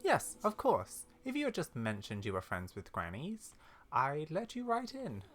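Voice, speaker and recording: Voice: medium pitch, huge pitch swings, measured pace, fairly bright tone, soft background music, heavily acted. A performer voicing an eager little girl with a bouncy, blunt, childlike manner. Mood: indignant. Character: eager, high-voiced little girl looking for granny.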